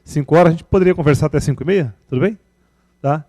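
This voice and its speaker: A man's voice: fairly high pitch, fast talker, mellow, no room sound. A man with a Brazilian accent informally moderating a discussion and opening it up for questions. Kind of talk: informal event hosting.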